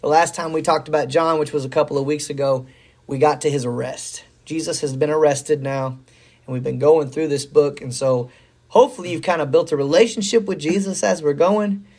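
A hoarse middle-aged man with a slightly high-set voice, chatting as a podcast pastor. He rambles in a folksy, upbeat way, with a worn, scratchy throat. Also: steady tone, fairly quick, darkish tone. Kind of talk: casual faith talk.

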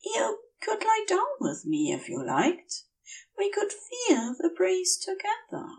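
A woman voicing a gentle, fussily polite male angel who rambles affectionately in a dazed, feverish way in an audio story. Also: extremely high pitch, wildly swooping pitch, slow, far from the mic, pitched above the performer's own voice, exaggerated voice. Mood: hopeful.

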